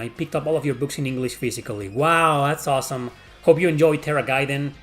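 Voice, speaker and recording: A middle-aged man from Mexico with a Mexican accent, answering viewer questions in a blunt, profane, rambling casual chat. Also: on the high side.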